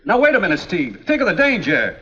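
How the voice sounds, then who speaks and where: A worried, fretful official in a 1950s detective drama. His voice is high and sharp. The soundtrack is dull and dated. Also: huge pitch swings, a little fast, exaggerated voice. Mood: alarmed, protesting. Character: alarmed, fretful government commissioner.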